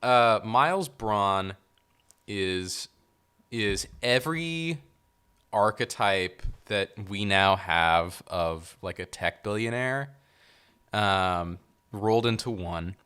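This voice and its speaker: A man co-hosting a casual movie podcast, joking and pausing with fillers as he summarizes a plot. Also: fairly low pitch, expressive, sharp tone, smooth. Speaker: podcast co-host. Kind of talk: casual movie review chat.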